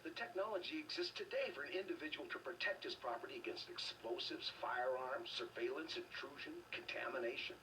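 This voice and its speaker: An actor playing an earnest, argumentative anti-government rebel in a video game, speaking with preachy insistence. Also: male voice, mid-range voice, fairly quick, hardly any pauses, sharp tone, recorded from a distance, a little hiss or hum, lightly acted, low-quality recording.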